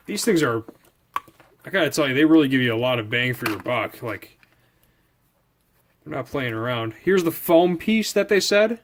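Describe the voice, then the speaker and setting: A man doing a casual, unscripted product review, rambling informally and thinking aloud as he handles things. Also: on the high side. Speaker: product reviewer hosting a video channel. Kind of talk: casual unscripted unboxing chat.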